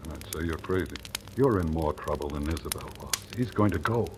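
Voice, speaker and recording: A man's voice: a bass voice, animated, brisk. An actor playing a hard-boiled, cynical small-town newspaperman with a grudge in old-time radio drama style. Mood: warning, curt. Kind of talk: 1940s-50s radio drama acting. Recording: noisy, crackling old radio recording.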